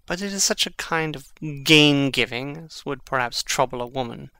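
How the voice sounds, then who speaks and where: An actor playing Hamlet, a brooding, witty young prince, in a measured, theatrical Shakespearean reading. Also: male voice, medium pitch, lively intonation, pushed and bright, clean tone, no room sound. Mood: resigned, philosophical. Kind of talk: dramatic reading of Shakespearean verse.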